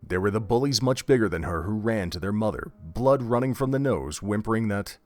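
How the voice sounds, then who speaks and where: A man narrating an audio drama in an expressive storybook manner. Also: lowish voice, brisk. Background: faint music.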